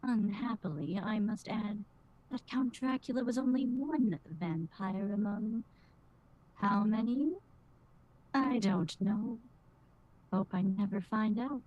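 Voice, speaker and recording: Woman acting a wry spooky radio storyteller, slow and dark toned, measured. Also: medium pitch, muffled recording. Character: wry, ominous female storyteller closing a horror tale. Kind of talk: 1940s radio acting, scripted narration.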